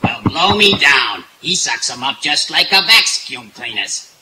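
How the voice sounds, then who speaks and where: An actor playing a gruff, plucky sailor in an old-time radio cartoon, talking in mangled nautical slang. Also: male voice, on the high side, sing-song, unhurried. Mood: hearty. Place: outdoor stage in bamboo woods. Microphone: stage stand microphone. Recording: live outdoor stage, background noise.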